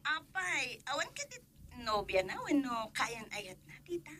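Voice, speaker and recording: An actress playing an eager, bubbly young woman in a radio drama, gushing and animated. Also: sits high, measured pace, slight background noise, heavily acted.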